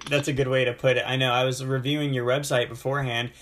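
A man's voice: medium pitch, little pitch movement, fast talker, a bit bright. A man hosting a casual podcast interview, talking enthusiastically and at length, often stopping to say "you know". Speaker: podcast host.

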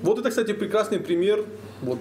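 A man joining a panel discussion with lively, emphatic remarks. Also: high-pitched, brisk, crowd noise. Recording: echoey room with noise.

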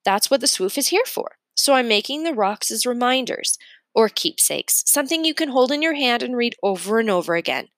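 A woman voicing a kind, quirky, encouraging woman teacher in a storybook reading, animated and gushing. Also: a little high, brisk, no room sound, pitched above the performer's own voice. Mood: encouraging, bright.

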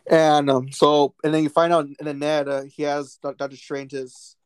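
A man co-hosting a podcast, talking excitedly about a film in a rambling way, with many restarts and fillers. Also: a little high, moderate pace, clean tone, no echo. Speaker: podcast co-host. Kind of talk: casual movie discussion.

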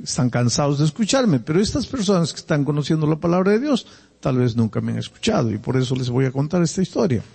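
An older man from Peru with a Peruvian Spanish accent, preaching a sermon and telling personal stories, animated and swinging between loud emphasis and quiet asides. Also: medium pitch, vocal fry, husky, long reverb tail.

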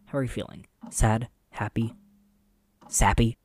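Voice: medium pitch, fairly even intonation, measured pace, darkish tone, slightly rough, no room sound. An actor playing an upbeat, goofy young man, a generous friend who chats playfully in a casual audio drama. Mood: cheerful, eager. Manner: playful, chatty, mock-indignant.

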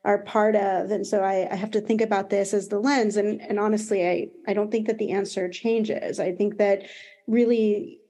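A woman's voice: medium pitch, little pitch movement, moderate pace, warm tone. A woman with an American accent speaking conversationally as an executive panelist in an online discussion. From United States.

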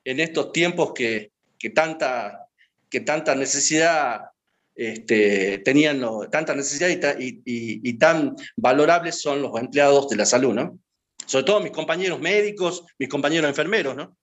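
A man's voice: mid-range voice, normal speed, a bit bright. A man, answering interview questions and reminiscing in a rambling way, with many hesitations and filler words. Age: 50s. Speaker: guest. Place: his home.

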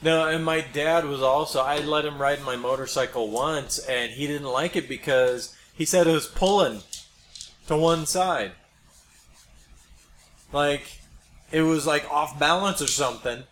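A man's voice: fairly high pitch, a little slow, fairly bright tone. A man co-hosting a podcast in casual conversation, telling anecdotes in a wry way. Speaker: podcast co-host. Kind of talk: casual chat. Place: small room, podcast setup. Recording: noisy podcast recording.

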